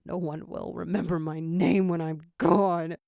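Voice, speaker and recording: A woman's voice: fairly low pitch, little pitch movement, a little slow, gravelly, warm tone, dry recording, clean studio sound. A woman voicing a frail, bitter young male jackal in a story reading, gloomy, weary and defiant. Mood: bitter, despairing.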